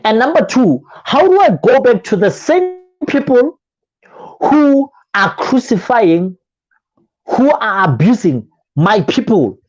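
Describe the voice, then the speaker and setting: A man's voice: very high-pitched, sing-song, slow, muffled recording, voice pushed up, exaggerated voice. A motivational coach voicing a reluctant biblical man who doubts himself, speaking hesitantly and pleading.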